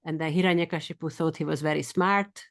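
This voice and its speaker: A woman's voice: low voice, steady tone. Eager Hungarian woman teaching a class. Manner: quick and eager, sometimes runs fast. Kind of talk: scripture study class.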